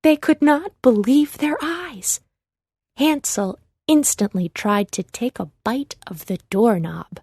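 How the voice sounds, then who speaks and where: Slow, mellow woman reading a storybook. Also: fairly high pitch, animated, breathy edge, no echo, clean studio sound. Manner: measured read-aloud delivery. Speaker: storybook narrator. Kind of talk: scripted children's storybook narration.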